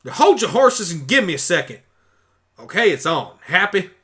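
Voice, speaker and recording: A man's voice: high-pitched, big pitch swings, normal speed, bright, forceful tone, voice pushed up, exaggerated voice. A man voicing a blunt, stubborn young country pony in a fan audio drama. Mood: confused, irritated. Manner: folksy, blunt, exclamatory. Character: plain-spoken, stubborn young country mare pony turned human.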